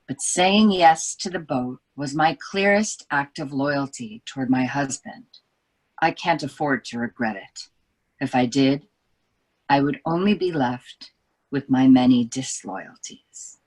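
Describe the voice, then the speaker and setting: A woman's voice: extremely low pitch, measured pace, a little mellow. A woman reading as a brooding young wife. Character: troubled young wife, reflective. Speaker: book editor. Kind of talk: literary reading.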